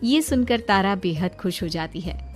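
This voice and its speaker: A woman giving scripted storytelling narration for an animated moral story. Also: mid-range voice, moderate pace, faint music behind.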